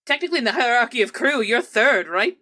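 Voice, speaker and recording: A woman's voice: on the high side, animated, quick pace, pushed and bright. A woman role-playing in a tabletop game with casual, theatrical, flamboyant banter. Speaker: player in a tabletop game podcast.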